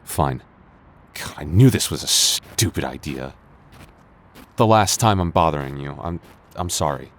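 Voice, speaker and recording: Casting: male actor for a low-pitched young childhood friend in a romance audio roleplay, defensive yet smitten, hesitant and stumbling over words. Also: animated. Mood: hurt, resigned. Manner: halting, stammering, hesitant. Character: young man, flustered, defensive childhood friend secretly in love.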